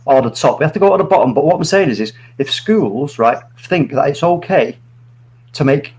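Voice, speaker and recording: A man's voice: fairly high pitch, expressive, a little fast, a little mellow. Earnest man in his forties. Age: 40s. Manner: earnest, rambling, many restarts. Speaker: stream guest. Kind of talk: opinionated live chat.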